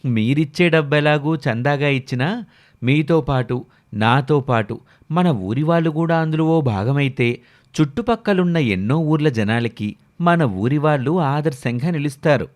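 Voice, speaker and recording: A man playing a polite, persuasive village flatterer who runs a clinic, in a moral story. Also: on the high side, moderate pace, natural voice. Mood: flattering, persuasive.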